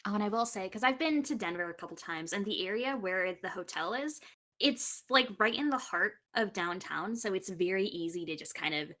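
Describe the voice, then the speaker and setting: A woman's voice: medium pitch, expressive, fairly quick, pushed and bright. A young woman chatting casually and upbeat as a guest on a podcast. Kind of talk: casual chat.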